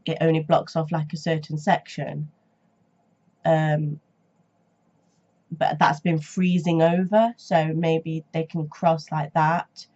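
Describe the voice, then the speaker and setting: Stuffy-nosed woman with a low mellow voice. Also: little pitch movement, takes it slowly, long pauses. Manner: rambling and hesitant, many ums and restarts. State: stuffy nose and sore throat from a cold. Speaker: reaction channel host. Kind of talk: casual reaction vlog chat. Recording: dull lo-fi home recording.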